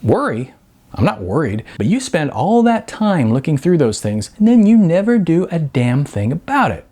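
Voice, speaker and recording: A man voicing a cocky, excitable young slacker in an audiobook, talking in brash, insistent dude slang. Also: fairly high pitch, normal speed, pitched above the performer's own voice. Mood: needling. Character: excitable, cocky young male slacker dreamer, record store clerk.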